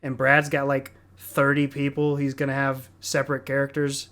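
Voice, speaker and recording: A man's voice: mid-range voice, monotone, moderate pace, fairly bright tone. A man hosting a gaming podcast, teasing his co-hosts in casual chat. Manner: laughs often, teasing. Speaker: podcast host.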